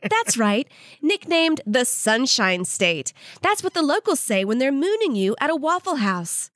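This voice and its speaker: High, lively woman reading comic jokes. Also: dry recording, studio quality. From Louisiana. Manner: deadpan joke delivery, drawn-out pauses. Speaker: podcast host. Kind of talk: scripted comedy intro and jokes.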